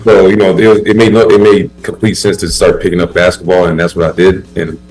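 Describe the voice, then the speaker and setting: A man's voice: sits low, quick pace, soft background music, rough recording. A man in his thirties, born in Haiti and raised in the US, chatting casually as a basketball player guest in an easygoing, rambling way with many fillers. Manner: relaxed, rambling, laid-back with many fillers. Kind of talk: casual interview chat.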